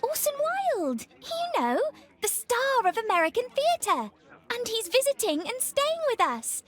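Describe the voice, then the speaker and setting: A woman's voice: extremely high pitch, wildly swooping pitch, unhurried, a bit bright, full character voice. A woman playing an eager, insistent, polite young daughter in a video game. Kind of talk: dramatic video game dialogue.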